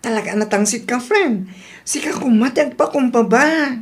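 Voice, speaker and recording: A woman's voice: a little high, moderate pace, natural voice. A woman with an Ilocano accent playing a scolding friend who urges humility in a radio drama. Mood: reproachful. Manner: admonishing.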